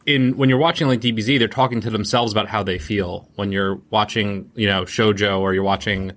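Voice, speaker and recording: Animated man in his thirties. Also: on the low side. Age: 30s. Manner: animated storyteller, quick asides. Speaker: guest librarian. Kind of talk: casual chat. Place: library recording room.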